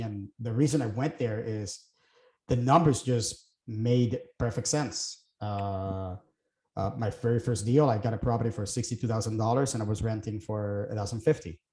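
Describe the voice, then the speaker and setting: A man's voice: fairly low pitch, fairly even intonation, moderate pace, dark tone, echoey room. A middle-aged man from Puerto Rico, a guest talking casually about his work, thinking aloud with fillers and restarts. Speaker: guest, real estate investor. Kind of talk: casual interview chat.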